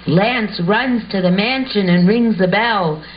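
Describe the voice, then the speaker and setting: Female narrator, medium pitch, slow scripted reading of stage directions, muffled home recording.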